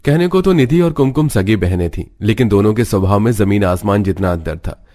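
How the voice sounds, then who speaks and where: A man's voice: fairly low pitch, expressive, brisk, dark tone, slight background noise. A man reading scripted storybook narration for a cartoon family tale.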